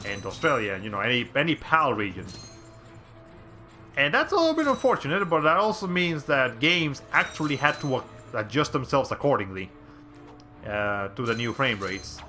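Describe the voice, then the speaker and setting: Raspy chatty male game streamer. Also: medium pitch, sharp tone. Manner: rambling, chatty, frequent restarts. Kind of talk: casual gameplay commentary.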